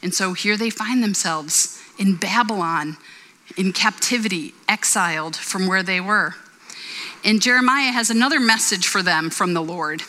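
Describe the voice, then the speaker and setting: A woman in her forties gives an earnest, conversational sermon, mixing in personal stories. Her voice is bright and clear. It rings with the long reverb of a church hall. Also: medium pitch, normal speed. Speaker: pastor.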